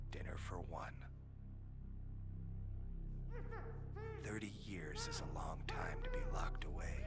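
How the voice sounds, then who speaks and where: An actor playing a vengeful, broken war veteran driven insane, narrating a dark video game monologue in a grim, breathy growl. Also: male voice, very deep voice, full character voice. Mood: dark, brooding. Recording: loud music under the voice.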